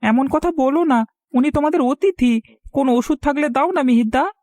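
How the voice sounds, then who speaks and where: A woman plays a caring young village boy in a cartoon. The voice is high and recorded dry, with an earnest, pleading delivery. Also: normal speed, darkish tone, no room sound, lightly acted. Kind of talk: Bengali cartoon voice acting.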